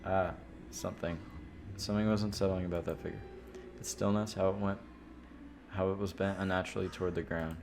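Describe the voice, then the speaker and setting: A man's voice: sits low, flat delivery, a little fast, long pauses, noisy background. A man streaming a visual novel, reading its horror narration aloud expressively and dropping in casual asides. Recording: loud music under the voice. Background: game music.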